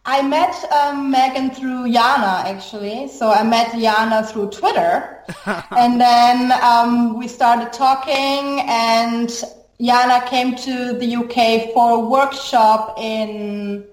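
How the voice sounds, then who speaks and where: A woman's voice: fairly high pitch, fairly even intonation, slow pace. A woman in her thirties with a German accent, explaining her work as an interview guest. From Germany, born in Brazil. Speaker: guest, psychology professor. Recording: echoey room sound.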